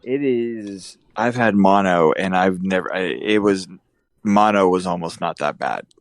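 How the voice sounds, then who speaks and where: Joking low-voiced man hosting a podcast. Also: measured pace, no echo, studio quality. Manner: rambling, joking, frequent restarts. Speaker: podcast host. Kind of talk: casual podcast chat.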